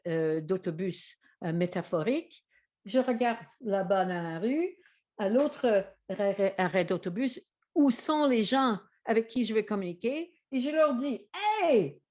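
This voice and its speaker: A woman's voice: fairly high pitch, darkish tone. An older woman with an English Canadian accent, a native English speaker, presenting as a trainer and consultant in a hesitant way with frequent fillers. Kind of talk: presentation to camera.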